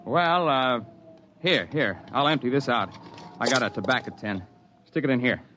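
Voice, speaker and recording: An actor playing a steady, hearty mountain climber who tells his own tale in a 1950s radio drama. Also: mid-range voice, normal speed, a little hiss or hum, lightly acted. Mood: brisk, wagering. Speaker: narrator and character. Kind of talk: 1950s radio drama, first-person narration and dialogue. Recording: dull band-limited 1950s radio recording.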